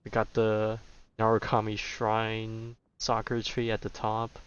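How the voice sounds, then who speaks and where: A man's voice: on the low side, monotone, a little slow, clear, resonant tone, dull audio. A man giving casual video game commentary, rambling and thinking aloud as he plays.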